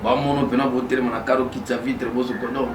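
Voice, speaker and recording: Halting man, far and echoey. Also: mid-range voice, flat delivery. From DR Congo. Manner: halting, mixes French and Lingala. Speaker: guest. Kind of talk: casual interview talk. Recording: echoey room, far mic, noisy.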